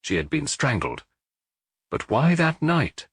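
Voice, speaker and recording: A man's voice: a little high, very animated, slow, fairly bright tone, slightly rough, no echo, studio quality. A man reading a detective novel as an audiobook narrator, in scripted storytelling style.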